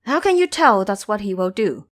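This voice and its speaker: Male elder monk, voiced by a woman, earnest master with a measured, admonishing tone for audio drama. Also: medium pitch, sing-song, lightly acted. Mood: doubtful, relenting.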